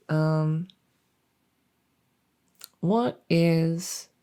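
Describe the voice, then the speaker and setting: Woman acting a silly female monster under the bed, low creaky voice, hesitant rambling, bungling scarer, ASMR audio roleplay. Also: dark tone, exaggerated voice. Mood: struggling, flustered. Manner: rambling, stammering, earnest and chatty, trailing off. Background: writing sounds.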